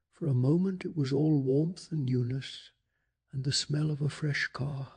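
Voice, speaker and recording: Soft-spoken, husky man narrating bedtime stories. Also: on the high side. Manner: calm, measured, gentle delivery. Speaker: narrator of a bedtime story channel.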